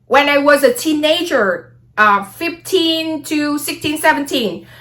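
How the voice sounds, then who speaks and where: A woman's voice: sits high, unhurried, fairly bright tone. A woman, an English speaker, talking casually about astrology in a rambling way and often checking in with her listeners. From Vietnam. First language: Vietnamese. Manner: rambling, frequent "okay?" and "blah, blah" asides. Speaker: astrology vlogger.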